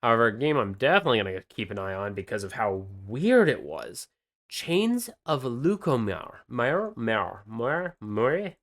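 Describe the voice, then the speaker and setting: A man's voice: medium pitch, big pitch swings, normal speed, fairly bright tone. Rambling man chatting about games. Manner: rambling, with many fillers and restarts. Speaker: podcast co-host presenting game news. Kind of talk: casual podcast chat.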